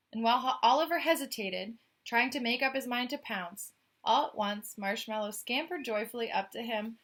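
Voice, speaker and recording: A woman's voice: a little high, a bit bright. A woman reading a children's picture book aloud as a storyteller, expressively, with chatty, questioning asides to young listeners.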